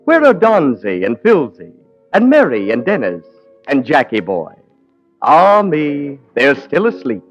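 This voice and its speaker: A man's voice: a little high, huge pitch swings, measured pace. A man narrating in mock-grand, theatrical storybook tones in 1940s radio comedy. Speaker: announcer. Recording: dull 1940s radio broadcast with music.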